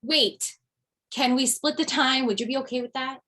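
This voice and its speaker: A woman's voice: high-pitched, steady tone, moderate pace, pushed and bright. A woman playing a restless, wry middle-aged mother in an amateur table read over a video call. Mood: hopeful, polite.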